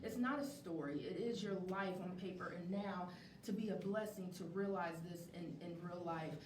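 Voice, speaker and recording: A woman hosting a live community interview show before a studio audience. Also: moderate pace. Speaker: talk show host. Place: indoor venue with studio audience. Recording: echoey room, distant mic, faint rumbling noise.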